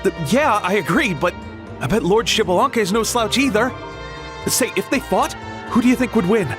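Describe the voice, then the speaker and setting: An actor playing an excitable, imaginative young man in a game, talking in an eager, speculative way. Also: sits high, normal speed, music in the background. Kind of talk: game voice acting.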